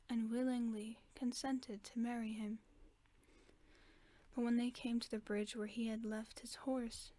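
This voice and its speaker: Woman doing a caring werewolf buddy, soft whispery bedtime voice, kinda high, flat and calm, slow soothing reading. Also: close to an everyday voice. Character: gentle caring werewolf friend in human form. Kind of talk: ASMR sleep-aid roleplay.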